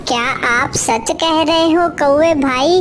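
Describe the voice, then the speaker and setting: A woman's voice: high voice, slow, a little hiss or hum. A woman voicing a small, sweet female bird in a children's cartoon, speaking in a plain, earnest way. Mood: trusting. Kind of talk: children's cartoon character dialogue.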